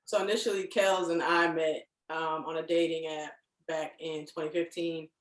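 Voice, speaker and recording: A woman in her thirties, a podcast guest, talking in a relaxed and chatty way. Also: sits low, moderate pace, reverberant, poor audio. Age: 30s. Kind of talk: casual chat.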